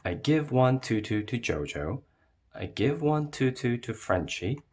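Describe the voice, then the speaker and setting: A man narrating a children's storybook as a read-aloud, with expressive delivery. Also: medium pitch, measured pace.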